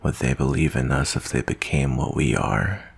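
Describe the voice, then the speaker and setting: A man playing a jaded, guilt-ridden monster-hunting soldier in an ASMR roleplay monologue, speaking in a slow, brooding, weary way with a gravelly, husky voice. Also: rumbling low, heavily acted. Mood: brooding, bitter.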